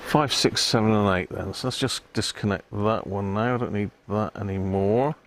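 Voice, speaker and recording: A man's voice: fairly low pitch, moderate pace. British man muttering over noisy background. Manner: muttering to himself, tag questions. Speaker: live stream host repairing radios. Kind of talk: casual live bench commentary.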